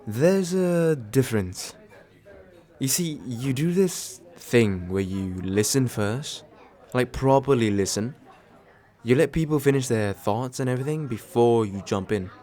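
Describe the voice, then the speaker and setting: A man playing a tender, nervous, attentive date in a soft, intimate ASMR roleplay, halting and gently self-deprecating with small stammers. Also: medium pitch, lightly acted. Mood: tender, reassuring. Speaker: voice actor in an audio roleplay.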